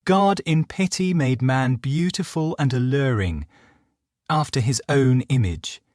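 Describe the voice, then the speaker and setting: A man's voice: mid-range voice, lively intonation, measured pace, darkish tone, no room sound, studio quality, natural voice. A man voicing a wretched, lonely and bitter monster from a gothic storybook, with formal, anguished, dramatic phrasing.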